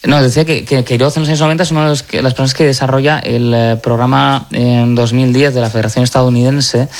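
A man's voice: medium pitch, fairly even intonation, fast. A man on a sports radio panel, talking football in a rambling way with many fillers. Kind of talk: casual football chat.

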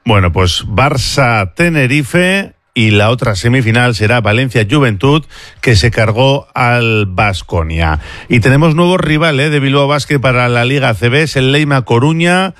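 A male sports radio host runs through results and listener messages in a brisk, conversational way. His mid-range voice sits close on a dry studio mic. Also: mid-range voice, animated, fairly bright tone, no room sound. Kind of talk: radio sports news presenting.

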